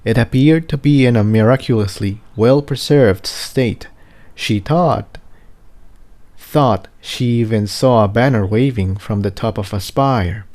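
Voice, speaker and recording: A man reading a scripted story as narration, in a measured way with the odd stumble and repeated word. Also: mid-range voice, a little mellow. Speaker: amateur fan fiction audiobook reader.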